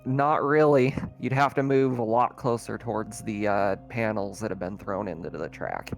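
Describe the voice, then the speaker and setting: A man's voice: fairly low pitch, moderate pace, creaky, soft background music. A man, working as game master, narrating and refereeing an online tabletop game in casual, colloquial language.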